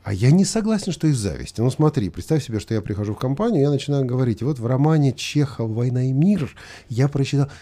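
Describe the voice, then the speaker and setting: Animated, slightly husky male talk host. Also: medium pitch, fast. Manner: argumentative, questioning, acts out imagined quotes. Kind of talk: conversational live talk show discussion.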